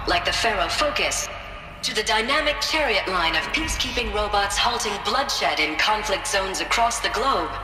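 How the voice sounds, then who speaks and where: A woman's voice: mid-range voice, lightly acted. A woman voicing a corporate promo narrator in a polished, scripted advert, in a game. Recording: echoey hall with music.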